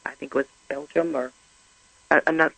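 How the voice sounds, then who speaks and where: A middle-aged woman whose first language is German, speaking earnestly and haltingly as an interview guest. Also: sits low, steady tone, creaky, sharp tone, breathy edge, dry recording, rough recording. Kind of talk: personal testimony in conversation.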